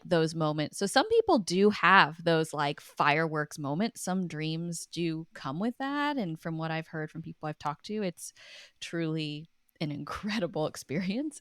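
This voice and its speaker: Casual woman guest with a lower voice. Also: no room sound, clean studio sound. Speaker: guest author. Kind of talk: casual conversational interview.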